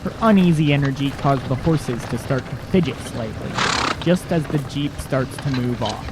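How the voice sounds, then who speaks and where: A man's voice: medium pitch, normal speed, very noisy. A man reading scripted dramatic narration as the narrator of an audio drama.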